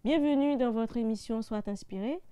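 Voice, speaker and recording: A woman's voice: fairly high pitch, normal speed, loud music behind the voice. A woman hosting an interview show, welcoming her guest and asking questions in an upbeat way. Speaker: interview host.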